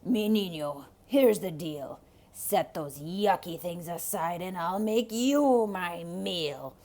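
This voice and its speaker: A woman's voice: on the low side, slow, mellow. A woman voicing a greedy, sly male wolf in a children's storybook, coaxing and menacing with a growl. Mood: impatient, greedy. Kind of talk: theatrical children's storybook read-aloud.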